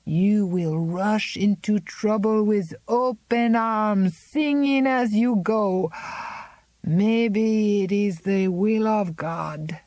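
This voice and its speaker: A woman reading aloud, voicing a gnarled old woman servant who speaks broken English with French words in a slow, sing-song, ominous way. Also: medium pitch, a little mellow, dry recording, voice pushed up.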